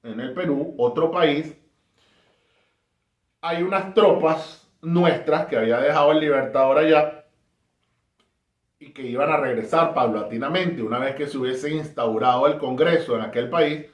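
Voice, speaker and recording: A man's voice: on the high side, measured pace. Impassioned Venezuelan man, roomy echo. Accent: Caracas Venezuelan. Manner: impassioned, emphatic, rhetorical. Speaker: history presenter. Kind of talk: passionate history talk.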